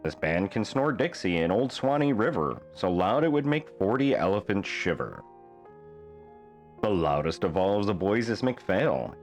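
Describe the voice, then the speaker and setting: A man reading a rhyming children's bedtime story aloud in a playful, theatrical manner with deliberate phrasing. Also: fairly low pitch, big pitch swings, faint music behind. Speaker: storybook reader.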